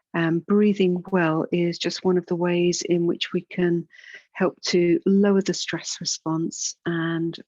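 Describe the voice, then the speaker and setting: A woman instructor leading a guided yoga relaxation and breathing practice in a soothing, unhurried, gentle manner with pauses. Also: sits low, no room sound, lo-fi sound.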